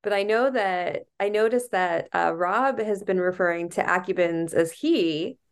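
A woman's voice: on the low side, smooth. Meandering, thoughtful woman co-host. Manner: thoughtful, meandering, self-aware. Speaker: podcast co-host. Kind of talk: casual book discussion.

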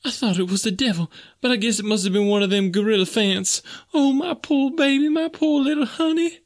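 A man's voice: very high-pitched, takes it slowly, pitched above the performer's own voice, exaggerated voice. A man voicing a hysterical, terrified maidservant who wails and exclaims in folksy dialect in an audiobook. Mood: frightened lamenting.

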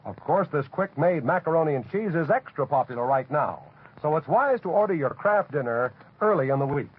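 An upbeat male radio pitchman from the 1940s, with a slightly husky, animated voice. The sound is thin and phone-like. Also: a little fast. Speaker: commercial announcer. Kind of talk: 1940s radio advertising read. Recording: tinny, narrow old radio recording.

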